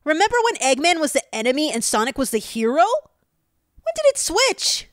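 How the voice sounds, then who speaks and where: A clever, worried young male fox with a high, expressive voice, voiced by a woman. The sound is dry and close to the mic. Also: moderate pace, no room sound. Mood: earnest. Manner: earnest, concerned.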